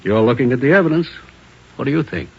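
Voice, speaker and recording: An American forensic technician with a husky voice. He explains methodically and with emphasis, in old radio drama style on a muffled recording. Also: mid-range voice, lively intonation, fast, soft background music. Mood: insistent. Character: insistent, methodical male police crime lab technician.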